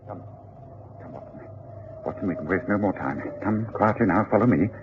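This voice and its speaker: An actor in a radio drama playing a commanding, brisk Victorian gentleman detective, with a clipped, urgent delivery. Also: on the low side, natural voice. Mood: urgent, hushed. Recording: muffled, very noisy old radio recording.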